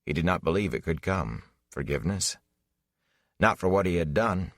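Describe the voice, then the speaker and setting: A man narrating a fantasy audiobook in a solemn, measured, dramatic style. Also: sits low, animated, a bit bright, no echo, studio quality.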